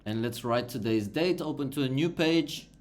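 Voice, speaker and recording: An English tutor whose first language is Bulgarian gives a patient one-on-one online lesson over a video call, speaking slowly and encouragingly and repeating and spelling out words. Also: medium pitch, expressive.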